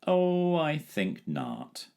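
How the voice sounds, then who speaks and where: A man's voice: a little high, wildly swooping pitch, extremely slow, darkish tone, voice pushed up, heavily acted. A man voicing a timid, lazy male dragon in a storybook reading, with a drawling, plaintive manner.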